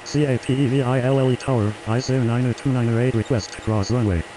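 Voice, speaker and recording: A man's voice: medium pitch, steady tone, fast. A man speaking clipped, scripted air traffic radio phraseology.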